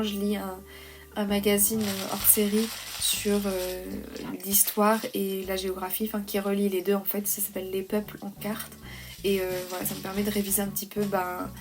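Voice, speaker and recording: Soft-spoken woman with a slight cold. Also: mid-range voice, flat delivery, normal speed, noisy background. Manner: soft, hesitant, many "euh" fillers. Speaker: vlogger, freelance illustrator. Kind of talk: calm cosy voice-over vlog.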